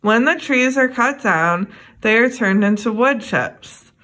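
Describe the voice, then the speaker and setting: A young adult woman reading a children's educational book aloud, addressing young listeners in a deliberate way. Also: on the high side, expressive, sharp tone. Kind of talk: read-aloud children's lesson.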